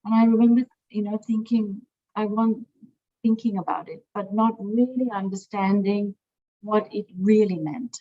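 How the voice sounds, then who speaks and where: Middle-aged woman from sri lanka, museum director in an interview, talks slowly thinking it through, lots of ums, kinda muffled lo-fi sound. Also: mid-range voice, steady tone. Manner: thoughtful, searching for words with many fillers. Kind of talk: interview conversation. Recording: dull lo-fi sound.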